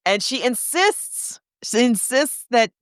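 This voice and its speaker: A woman's voice: on the high side, animated, slow pace, fairly bright tone, smooth, dry recording, studio quality. A woman co-hosting a podcast, recapping a film in casual chat with a conversational storyteller's manner. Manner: conversational storytelling, acting out characters' reactions. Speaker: podcast co-host.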